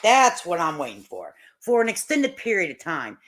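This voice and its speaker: A woman's voice: fairly low pitch, huge pitch swings, normal speed, a bit bright, slight background noise. A woman doing casual reaction commentary as a channel host, with a blunt, mocking, folksy and salty way of talking.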